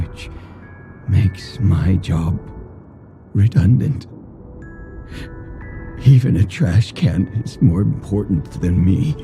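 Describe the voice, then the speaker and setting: A theatrical, wildly swooping game guard. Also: male voice, sits low. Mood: gloomy, self-deprecating. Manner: gushing, stammering, breaking into giggles. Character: overexcited, giddy Bloodhound guard drunk on delight. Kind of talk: theatrical video game acting. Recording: music behind the voice. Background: game music.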